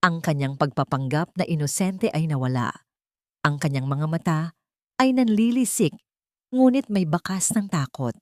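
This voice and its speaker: A woman's voice: deep voice, expressive, moderate pace, a little mellow, no echo, studio quality. A woman reading a scripted dramatic story as a storyteller.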